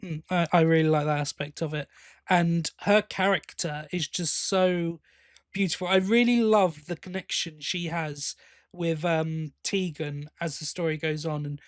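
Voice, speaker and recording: A man's voice: on the high side, fairly even intonation, unhurried, no echo, studio quality. A man co-hosting a podcast, talking casually and enthusiastically as a fan. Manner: enthusiastic, appreciative. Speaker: podcast co-host.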